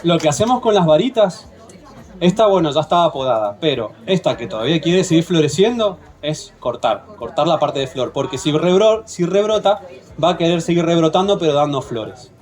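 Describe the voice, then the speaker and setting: A man's voice: a little high, lively intonation, fairly quick, darkish tone. A man with an Argentine accent leading a hands-on plant propagation workshop, patient and often checking in with the group. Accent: Argentine Spanish. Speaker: workshop instructor.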